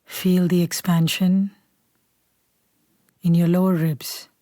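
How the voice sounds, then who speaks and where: Mellow, soothing woman guiding a meditation. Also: lowish voice, dry recording, clean studio sound. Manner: calm, unhurried, soothing. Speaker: meditation guide. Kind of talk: guided meditation, slow scripted instruction.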